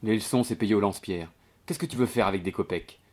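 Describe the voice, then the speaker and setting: A man voicing a gruff, broke young man who talks grudgingly and colloquially in a novel reading. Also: fairly low pitch, quick pace, darkish tone, lightly acted.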